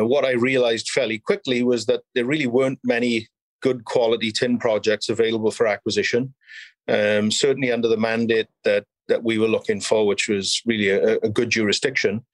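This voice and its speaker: Welsh male interviewee, fifties, mid-pitched and fairly flat in intonation, measured explainer, close dry sound. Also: no echo, rough recording. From South Wales. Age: 50s. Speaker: podcast guest, mining company chief executive and geologist. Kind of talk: conversational interview, recounting career.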